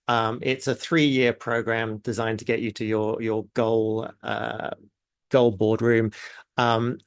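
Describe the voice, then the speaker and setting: A man from New Zealand with a blended Kiwi and British accent runs an online masterclass over a video call. His voice is mid-pitched, and he speaks in a loose, chatty way, full of fillers and asides to the viewers in the chat. The recording sounds dry, with no room echo. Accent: mixed New Zealand and British. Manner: chatty, filler-heavy, addresses audience in chat. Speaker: webinar presenter.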